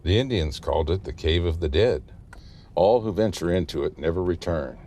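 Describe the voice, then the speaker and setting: A man playing a grave, cautious small-town guide in an audio drama, speaking in a formal, measured way. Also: deep voice, animated, background noise. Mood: grave, warning.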